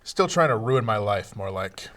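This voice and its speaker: A man playing a bitter, gruff police detective, curt and sardonic, in a screenplay table read. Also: fairly low pitch, expressive, moderate pace, fairly bright tone.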